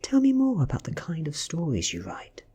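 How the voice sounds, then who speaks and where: A British man voicing an ancient female vampire in a storybook reading, seductive and calculating, with soft breathy coaxing. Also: fairly high pitch, huge pitch swings, moderate pace, pitched above the performer's own voice, heavily acted. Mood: playful. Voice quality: breathy, whispery.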